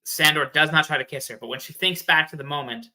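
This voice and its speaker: A man's voice: a little high, quick pace, pushed and bright, rough recording. A man in a casual fan discussion, explaining things in a slangy, playful way as a podcast guest.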